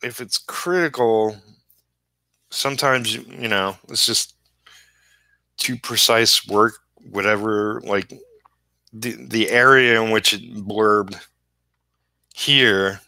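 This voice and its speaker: A man's voice: fairly low pitch, fairly even intonation, takes it slowly, long pauses, sharp tone, dry recording. A man chatting casually on a livestream while he works, rambling and trailing off mid-thought in an easygoing, self-deprecating way. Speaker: comic book inker live streaming.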